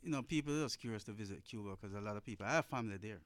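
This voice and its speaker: Male studio guest, lowish voice, informal and conversational, dry room sound. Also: very fast, no echo. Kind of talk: casual radio discussion. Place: radio studio.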